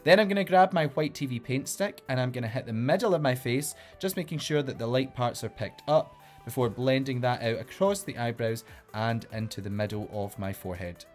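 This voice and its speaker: A man's voice: medium pitch, fairly quick, hardly any pauses, darkish tone, soft background music. A man with a Glaswegian Scottish accent, a drag queen hosting a makeup tutorial in an upbeat, playful way and giving step-by-step instructions. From Glasgow, Scotland.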